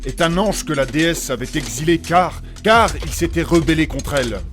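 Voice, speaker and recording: A man's voice: on the high side, lively intonation, moderate pace, over music, lightly acted. A man voicing a pious, easily alarmed young adventurer in a comic fantasy audio drama, earnest and formal. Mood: grave.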